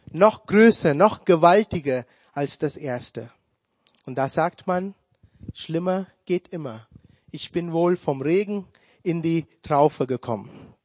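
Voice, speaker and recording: A man whose first language is Tamil, preaching a sermon in a slow, deliberate way with touches of humor. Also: a little high, no echo.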